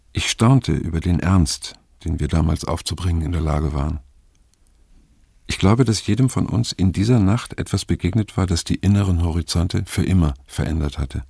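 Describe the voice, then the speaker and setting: Gravelly older man, reflective narrator. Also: deep voice, a little mellow, no room sound, clean studio sound, full character voice. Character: reflective older male narrator recalling his youth. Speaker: first-person narrator. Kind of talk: audio drama narration.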